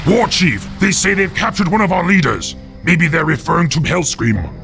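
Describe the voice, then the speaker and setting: Gravelly, higher-pitched orc warrior. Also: male voice, expressive, moderate pace, a bit bright, soft background music. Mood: concerned. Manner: deferential military reporting. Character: dutiful male orc warrior reporting to his chief. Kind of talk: video game cinematic acting.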